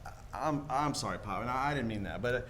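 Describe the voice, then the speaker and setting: A man's voice: medium pitch, animated, quick pace, fairly bright tone, slight background noise, natural voice. An actor playing an earnest, restless young small-town man in a 1940s radio play, with a hesitant, folksy, stammering delivery. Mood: pleading, restless. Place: live church stage performance.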